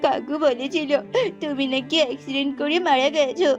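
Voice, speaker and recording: A woman's voice: sits high, fairly even intonation, a little fast, close to an everyday voice. A woman voicing a helpless young jackal boy in a whiny, pleading cartoon style. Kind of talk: cartoon voice acting. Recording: muffled, music underneath.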